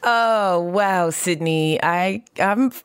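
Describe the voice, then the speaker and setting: A woman guest on a podcast telling stories in a sassy, animated way. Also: fairly low pitch, drawn-out words, smooth, dry recording, clean studio sound. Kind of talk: casual podcast chat.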